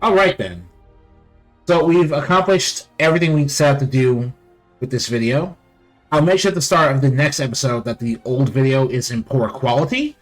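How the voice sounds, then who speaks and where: Low-key man doing gameplay commentary. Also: normal speed. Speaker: let's play host. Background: faint game music.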